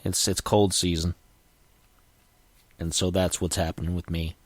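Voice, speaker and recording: A man's voice: sits low, little pitch movement, unhurried, no room sound. A man hosting a casual solo podcast, sniffly and coughing from a cold, rambling with frequent restarts. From United States. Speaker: podcast host.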